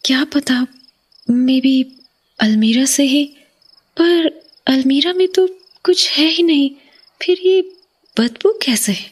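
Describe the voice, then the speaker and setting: A woman playing an uneasy, suspicious young wife in a horror audio drama, hesitant and hushed, lingering on words in a breathy voice. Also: high-pitched, slow pace, pauses often. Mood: puzzled, repelled. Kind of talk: Hindi horror audio drama, acted dialogue.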